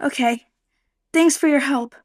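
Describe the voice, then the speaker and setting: A woman's voice: sits high, measured pace, no echo, clean studio sound. A woman playing a plucky, encouraging runaway orphan girl in a radio play. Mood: reassuring.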